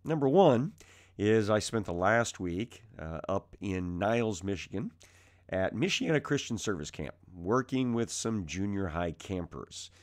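A man with a strained, raspy voice while ill, teaching the Bible verse by verse from his basement, calm and conversational with frequent fillers. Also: lowish voice, a little slow. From United States. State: ill with mild symptoms. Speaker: preaching minister. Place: home basement.

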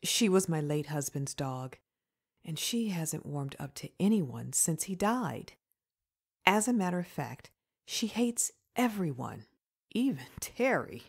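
A woman playing a kindly, encouraging widow in a comic dub, husky-voiced, gentle and reassuring. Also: very animated, slow, heavily acted. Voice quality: low, husky.

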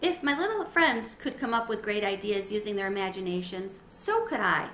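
A middle-aged woman giving an expressive, dramatized read-aloud of a children's story. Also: medium pitch, normal speed. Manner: animated, dramatizing. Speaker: storybook reader. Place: small sunroom with windows, indoors. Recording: roomy echo, faint background noise.

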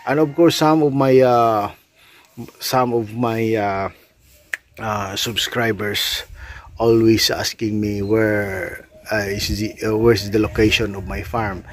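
Slow, halting Filipino man, low voice. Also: fairly even intonation. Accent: Filipino, Bisaya. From Philippines. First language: Bisaya (Cebuano). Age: middle-aged. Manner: halting, hesitant non-fluent English. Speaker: swiftlet farmer and vlogger. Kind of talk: casual explanatory vlog. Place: outdoors under a small tin-roofed bamboo shelter.

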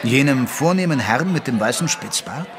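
An actor playing a genteel, good-natured Victorian doctor who narrates an audio drama in a measured, literary way. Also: male voice, mid-range voice, expressive, crowd noise, close to an everyday voice. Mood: genial.

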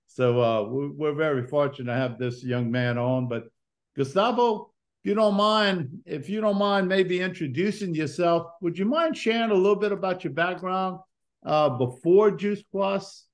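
An older man hosting a video call interview, introducing his guest in a relaxed, conversational way. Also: sits high, normal speed. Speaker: host. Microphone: video call, computer mic.

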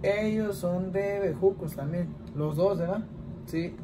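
A man's voice: sits high, slow, background noise. A man in his twenties with a Mexican Spanish accent, chatting casually in a home vlog and answering questions in a rambling way, often tagging lines with "¿verdad?". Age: 20s. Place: small indoor room, plain wall.

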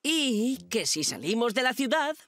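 A woman's voice: on the high side, big pitch swings, a little slow, fairly bright tone, dry recording. A woman voicing a cheeky, scheming young boy in a children's cartoon, with a wheedling, boastful manner. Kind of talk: animated children's cartoon dub. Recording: music behind the voice.

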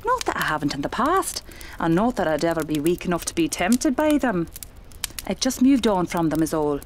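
A woman playing a seasoned, genteel spiritualist medium in a radio play, speaking in a measured, dramatic way. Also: fairly low pitch, big pitch swings, slight background noise. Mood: ominous.

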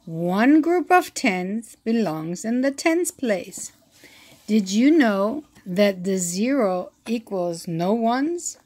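A woman reading a children's math picture book aloud, counting slowly and asking the listener questions, recorded on a phone held over the book. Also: mid-range voice. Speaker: read-aloud teacher.

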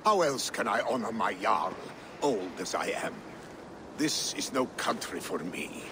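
An older actor playing a weary, loyal old Viking advisor in a video game, speaking gravely and measuredly. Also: male voice, lowish voice, animated, measured pace, noisy background. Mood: weary, resigned.

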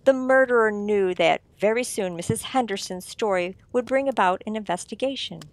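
A shrewd writer-sleuth from a radio mystery, acted by a woman, lays out each point with theatrical relish. The tone is condescending and deliberate, in a medium-pitched voice with a dry, close sound. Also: dry recording, lightly acted. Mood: smugly accusing. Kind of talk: old-time radio mystery acting.

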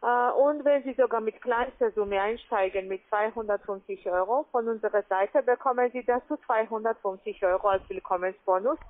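A woman who is not a native speaker, giving a formal, halting telephone sales pitch. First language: not German. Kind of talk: sales pitch on a cold call. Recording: tinny, narrow phone line.

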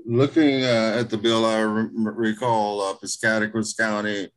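A man testifying at a hearing over a video call, halting, with many fillers and restarts. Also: on the low side, level tone, low-quality recording. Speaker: state representative presenting a bill.

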